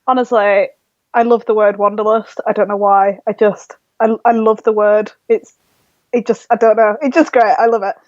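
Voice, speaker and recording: Yorkshire girl in her 20s, gushy and excited, dull dry lo-fi sound. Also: medium pitch, moderate pace, dry recording. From Leeds, England. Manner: enthusiastic, gushing, many ums and likes. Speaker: guest, blogger. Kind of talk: casual interview chat.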